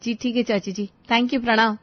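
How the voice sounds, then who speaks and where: A woman's voice: a little high, flat delivery, normal speed, highs cut off, natural voice. A woman voicing a scheming young elder daughter-in-law in a cartoon family story. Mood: polite, puzzled.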